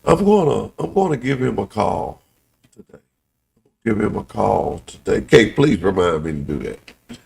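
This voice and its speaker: A man's voice: on the low side, very animated, a little slow, creaky, long pauses, darkish tone. A middle-aged man from Pensacola hosting a local talk show, telling folksy stories in casual Southern speech. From Pensacola, Florida.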